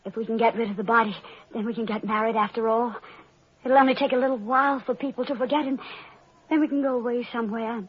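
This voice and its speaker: An actress playing a jealous, panicked young woman who has killed her sister, speaking frantically and haltingly in an old-time radio drama. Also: a little high, fairly even intonation, husky. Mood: desperate. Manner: frantic, halting, repeating herself. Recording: dull old radio recording with music.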